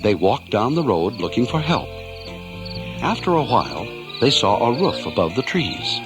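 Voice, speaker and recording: A man's voice: on the low side, big pitch swings, moderate pace. A man giving dramatic scripted narration of a children's story over music.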